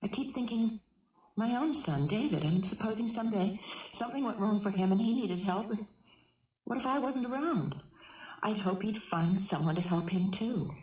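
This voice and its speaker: An actress playing a calm, caring middle-aged mother held hostage in a 1970s radio drama, measured, earnest and gently persistent. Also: medium pitch, natural voice. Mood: concerned, caring. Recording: dull, echoey vintage radio recording.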